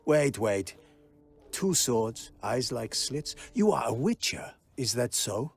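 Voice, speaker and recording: An actor playing a stern, proud army quartermaster in a fantasy video game, speaking formally and precisely in a husky voice. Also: male voice, medium pitch, big pitch swings, takes it slowly, soft background music, exaggerated voice. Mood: suspicious.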